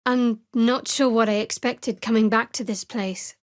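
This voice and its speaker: An actress voicing a guarded, wry and devout young female cleric in a fantasy video game, with a cool, measured, dryly sardonic delivery. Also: fairly high pitch, steady tone, dry recording, clean studio sound, natural voice. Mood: reflective.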